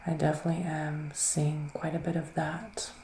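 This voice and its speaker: Male ASMR witch, whispery and reassuring, gravelly fry, unhurried and soothing, roomy sound. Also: a little high, flat delivery, noisy background. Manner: soft-spoken, soothing, unhurried, with pauses. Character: gentle, reassuring male witch giving psychic readings. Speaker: ASMR performer playing a psychic reader.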